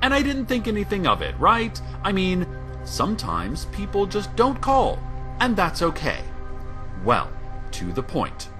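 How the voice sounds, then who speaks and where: An actor playing a small-town community radio host, eerily calm and gossipy, with a deliberate, theatrical announcer's delivery in a scripted broadcast. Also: male voice, high voice, full character voice. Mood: giddy, infatuated. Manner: deliberate, solemn announcer turning confiding and swoony. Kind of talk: scripted radio news narration, fictional broadcast. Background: music bed.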